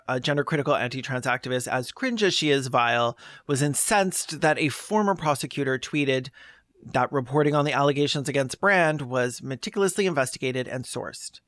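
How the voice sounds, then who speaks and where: A man's voice: mid-range voice, a bit bright, dry recording, clean studio sound. A man delivering scripted, opinionated commentary as a video essayist, with a sarcastic, scornful manner.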